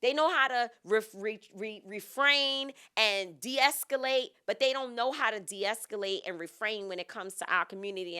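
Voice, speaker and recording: Woman, podcast co-host, emphatic, repetitive stress, bright tone. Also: mid-range voice, smooth. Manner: emphatic, repeats phrases for stress. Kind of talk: impassioned discussion.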